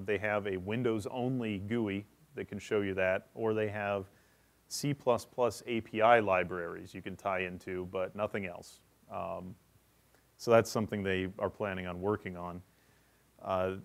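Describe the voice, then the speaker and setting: A man presenting a technical session at a conference, explaining in a matter-of-fact way. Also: fairly low pitch.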